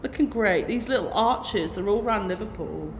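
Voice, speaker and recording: A woman co-hosting a livestream, chatting casually and excitably with frequent gasps and laughs. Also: medium pitch, normal speed. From England. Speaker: livestream co-host. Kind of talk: casual chat. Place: arena press area. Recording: echoey hall with noise.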